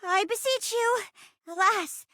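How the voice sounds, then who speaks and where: A noble little wood sprite from a video game's fantasy play. The voice is extremely high and bright, delivered slowly and grandly. Every phrase is earnest and melodramatic. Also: female voice, dry recording, studio quality, full character voice. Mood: earnest, heroic. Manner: grand, formal, breathless theatrical delivery. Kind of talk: stagey fantasy play acting in a video game.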